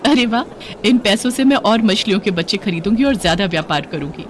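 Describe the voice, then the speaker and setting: An earnest young daughter-in-law from a village moral tale, acted by a woman narrator. She is dutiful and hopeful, pleading with very lively intonation. The recording carries faint noise. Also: mid-range voice, a little fast, close to an everyday voice. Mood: determined.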